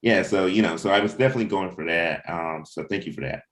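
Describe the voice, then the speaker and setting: A man's voice: deep voice, fast talker, fairly bright tone. A man in a casual creative discussion as a writer and host, rambling and often saying "you know". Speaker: comic book writer hosting a table read discussion.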